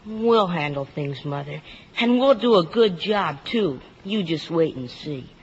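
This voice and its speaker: Plucky high-voiced boy. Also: animated, unhurried. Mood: eager resolve. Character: plucky, eager boy being man of the family. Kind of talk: 1950s radio acting. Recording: muffled old radio broadcast, faint noise.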